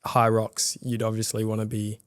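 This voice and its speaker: A man hosting a podcast, asking short questions and chiming in with relaxed, casual remarks. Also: on the low side, moderate pace, clean tone. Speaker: podcast host. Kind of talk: casual interview chat. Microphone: studio podcast mic.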